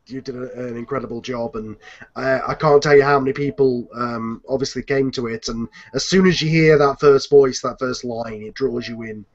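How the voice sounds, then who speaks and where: Halting man hosting on a muffled video call. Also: medium pitch, steady tone. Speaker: host of a fan audio drama cast chat. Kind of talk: casual group video-call discussion. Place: indoors on an online video call. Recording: slightly muffled internet call audio.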